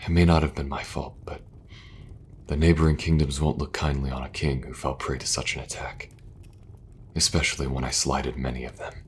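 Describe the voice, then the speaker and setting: A man voicing a weary, dutiful king in an audio drama, speaking in an earnest, measured way. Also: very deep voice, flat delivery, slight background noise. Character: weary, dutiful male king burdened by duty.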